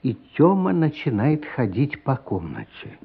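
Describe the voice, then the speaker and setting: A man narrating a radio play as a dramatic, expressive storyteller. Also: medium pitch, a little slow, vocal fry, a little hiss or hum. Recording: muffled radio play recording.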